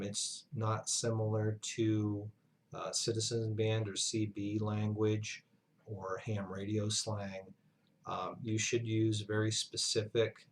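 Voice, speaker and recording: A man giving an instructional lesson as a flight instructor, speaking in a measured, halting way with pauses mid-sentence. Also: lowish voice, slight background noise. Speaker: flight instructor, university lecturer. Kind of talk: instructional narration, explanatory lesson.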